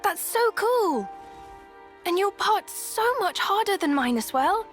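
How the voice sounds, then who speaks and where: An actress playing a sweet, timid young girl musician in a video game, gentle and eager. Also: very high voice, big pitch swings, slow pace, soft background music, heavily acted. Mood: eager, admiring. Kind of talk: video game cutscene acting.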